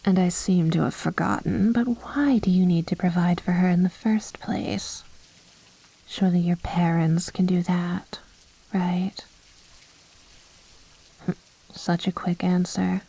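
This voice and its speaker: Casting: a woman playing a vampire mistress for whispered ASMR drama; breathy, slow, silkily condescending, possessive. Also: fairly low pitch, fairly even intonation, full character voice, rough recording. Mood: coaxing, hypnotic. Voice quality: soft, breathy, much of it whispered. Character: commanding, seductive female vampire noble, coaxing and possessive.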